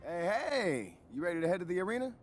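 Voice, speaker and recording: An actor playing an energetic, hype young male agent and best friend in a video game cutscene. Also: high voice, fast talker, slight background noise. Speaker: player's friend and manager.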